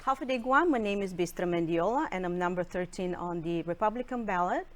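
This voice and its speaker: A woman's voice: lowish voice, animated, a little fast, slight background noise. A woman from Bulgaria with a Bulgarian accent, speaking earnestly as a political candidate in a televised interview.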